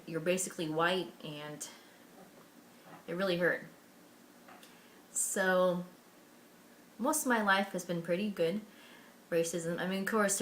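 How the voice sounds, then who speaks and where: Low-voiced young American woman, candid. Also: fairly bright tone, a little hiss or hum. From Washington State, USA. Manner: earnest, candid, with many fillers and restarts. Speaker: vlogger. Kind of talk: personal storytelling to camera.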